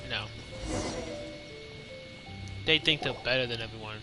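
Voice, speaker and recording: A man's voice: medium pitch, a little slow. A man giving casual, off-the-cuff, slangy commentary as he plays a video game. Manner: slangy, says "bro" often. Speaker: gamer reacting to gameplay. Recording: game music behind the voice.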